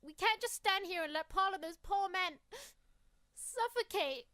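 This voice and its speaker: Woman acting a shrill, distraught frontier heroine. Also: measured pace, pushed and bright, full character voice. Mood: distressed, pleading. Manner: urgent, emotional pleading. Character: distraught young frontier woman, pleading and determined. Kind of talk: old-time western radio drama acting.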